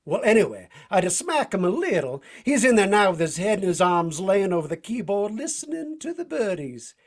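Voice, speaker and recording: A husky Southern cowboy type from old-time radio. His pitch swings wildly as he drawls folksy slang, tough yet playful and easygoing. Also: very animated, fairly quick, full character voice. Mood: breezy, boastful. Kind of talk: vintage radio drama acting.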